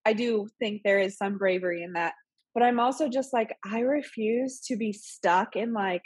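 Sick woman in her 30s, nasal and kinda husky, chatty, dry close sound. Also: mid-range voice, moderate pace, no room sound. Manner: chatty, rambling with many fillers and laughs. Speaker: podcast guest. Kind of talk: casual interview chat.

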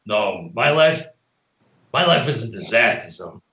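A man's voice: fairly low pitch, sing-song, unhurried, sharp tone, pitched below the performer's own voice, exaggerated voice. A man playing a bitter, world-weary cop in an emphatic impression of movie dialogue. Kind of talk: film scene read as impression. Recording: slightly dull, roomy sound.

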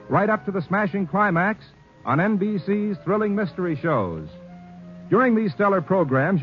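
A man with an American accent announcing on 1950s radio, reading scripted promotion with polished, emphatic delivery. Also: high voice. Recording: muffled 1950s radio recording, music behind.

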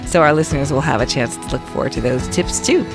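A woman's voice: sits low, fast, over music. A woman co-hosting a podcast, introducing a guest and asking questions enthusiastically. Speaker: podcast co-host. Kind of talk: podcast interviewing.

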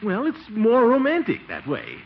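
An actor playing a flustered, scheming middle-aged bridegroom-to-be in 1940s radio comedy, blustery, stammering and chuckling nervously. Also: male voice, high voice, huge pitch swings, normal speed, gravelly, slightly rough, exaggerated voice. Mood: nervous coaxing. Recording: muffled, noisy old 1940s radio recording.

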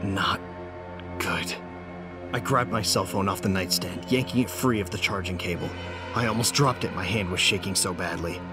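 A man's voice: low-pitched, moderate pace, close to an everyday voice. An actor playing a frightened, armed man trapped at home, telling his horror story in intense, fragmented bursts. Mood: shaky, rising panic. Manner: intense, breathless self-talk with short fragmented phrases. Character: panicked man trapped at home by an unseen intruder, armed, frantic. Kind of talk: first-person horror audio drama narration. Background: music.

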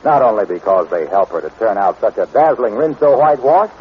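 A man announcing and pitching a sponsor in jovial, salesmanlike 1940s radio style. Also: lively intonation, brisk, slight background noise. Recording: muffled 1940s radio recording.

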